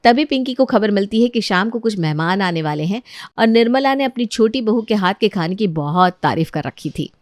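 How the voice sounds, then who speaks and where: A woman's voice: medium pitch, lively intonation, moderate pace, pauses often, no echo, clean studio sound. A woman narrating a scripted moral story as a storyteller.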